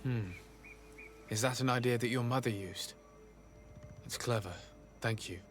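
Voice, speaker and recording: A man's voice: mid-range voice, measured pace, soft background music, lightly acted. Man acting a courteous young diplomat, heavily reverberant. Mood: curious, calm. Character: courteous young male diplomat, measured and gentle. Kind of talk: video game voice acting.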